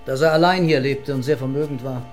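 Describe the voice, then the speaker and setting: An actor playing a composed police commissioner, terse and measured, in a radio crime drama. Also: male voice, medium pitch, faint music behind, lightly acted. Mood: sober, matter-of-fact.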